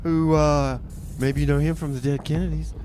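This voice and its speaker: A man's voice: a little high, level tone, dark tone, slight background noise. A man hosting community radio, laid-back and easygoing, drawling his words and calling people "man.". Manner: easygoing, drawn-out, says "man" a lot.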